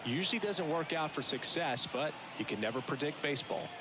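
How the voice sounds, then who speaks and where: A man's voice: fairly high pitch, animated, fairly quick, lightly acted. A man voicing a knowledgeable baseball color analyst in a video game, talking in a conversational way. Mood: analytical. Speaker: color commentator. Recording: game commentary under very loud noise.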